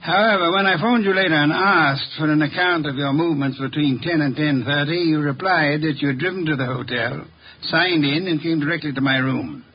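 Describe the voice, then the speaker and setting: A man acting a brilliant, haughty middle-aged detective in a 1950s radio drama, speaking in a pompous, formal, deliberate way, on a muffled old recording. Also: fairly high pitch, breathy edge. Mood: stern, certain. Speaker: radio actor in a detective series.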